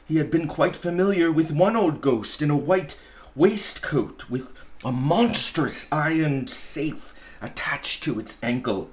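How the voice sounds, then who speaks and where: A man narrating a storybook expressively, with deliberate, dramatic phrasing. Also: a little high, animated. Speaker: storybook reader, pastor.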